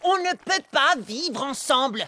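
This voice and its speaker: A woman's voice: sits high, lively intonation, measured pace, pushed and bright, heavily acted. A woman voicing a melodramatic, easily exasperated young male amoeba with a whiny delivery in a French cartoon. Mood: indignant. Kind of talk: French animated cartoon dubbing. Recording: music behind the voice.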